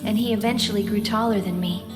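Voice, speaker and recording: A woman playing a lively, affectionate teenage schoolgirl in an anime audio drama dub, expressive and playful. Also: mid-range voice, fast talker, lightly acted. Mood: wistful, fond. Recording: voice over loud background music.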